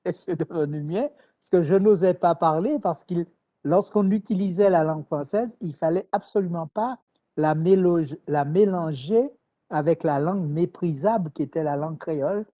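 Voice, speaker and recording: A man from Martinique, answering interview questions in a measured, thoughtful way with hesitations and repeated words. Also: a little high, dark tone. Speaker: writer, interview guest. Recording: dull lo-fi sound.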